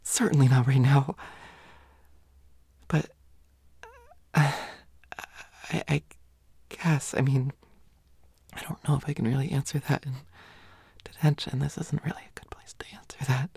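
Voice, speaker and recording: A young woman plays a pouty teenage schoolboy in an intimate audio roleplay, very breathy and low-pitched for a female voice. He stammers slowly and haltingly, often dropping to a hush, indignant yet secretly smitten. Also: steady tone, no echo, full character voice. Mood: nervous, evasive. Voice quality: breathy, hushed, often whispering.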